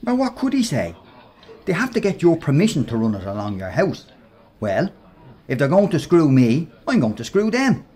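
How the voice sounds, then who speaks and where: An actor with a Dublin accent playing a brash, mocking workplace bully in a radio play, talking in slangy, sneering bursts. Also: male voice, on the high side, huge pitch swings, moderate pace, darkish tone, slightly rough, heavily acted. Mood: indignant bluster. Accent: Irish, Dublin.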